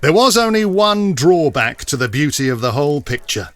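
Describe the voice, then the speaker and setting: Higher-voiced male literary storyteller. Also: sing-song, moderate pace, fairly bright tone, no echo. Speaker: narrator. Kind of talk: dramatised literary storytelling, scripted narration.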